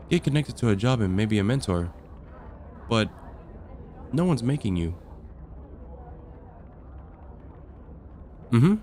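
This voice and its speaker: A man playing a friendly, patient vampire caseworker in an ASMR roleplay, explaining things calmly with gentle dry wit. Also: mid-range voice, a little hiss or hum, lightly acted. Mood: friendly, reassuring. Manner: calm, explanatory, gently wry. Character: friendly, patient vampire caseworker onboarding a newcomer, dryly amused. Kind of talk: ASMR roleplay, conversational acting.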